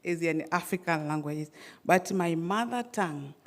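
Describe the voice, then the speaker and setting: A woman from Tanzania, whose first language is Swahili, giving a conference presentation in a measured, hesitant way with frequent fillers and restarts. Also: fairly low pitch. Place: conference hall.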